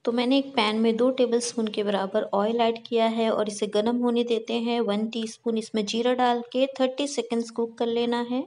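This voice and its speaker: A woman's voice: on the high side, little pitch movement, moderate pace, hardly any pauses, darkish tone. A young adult woman narrating a recipe step by step in a friendly way, hosting her own cooking channel, mixing English words into Hindi. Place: home kitchen.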